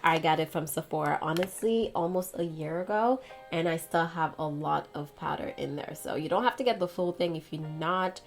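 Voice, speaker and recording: A woman's voice: sits low, moderate pace, creaky, soft background music. A woman giving a casual makeup tutorial in a chatty, playful, slangy way. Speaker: beauty vlogger.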